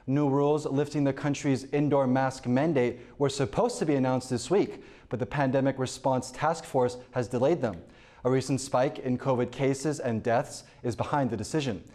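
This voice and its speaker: A man anchoring a television newscast, reading the headlines in a measured, even way from a studio. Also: mid-range voice. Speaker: news anchor. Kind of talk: scripted news reading.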